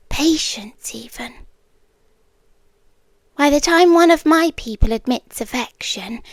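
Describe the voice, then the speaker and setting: An ancient, inhuman girlfriend played by a woman speaks in a high, breathy British voice that often slips into whispers. She is possessive yet wryly polite, taking her time over each phrase. The ASMR roleplay sounds intimate and very dry, with no room around it. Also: dry recording, exaggerated voice. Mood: wistful, possessive. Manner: soft, breathy, half-whispered, deliberate, wryly courteous. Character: possessive ancient long-tailed nonhuman yandere girlfriend, centuries old.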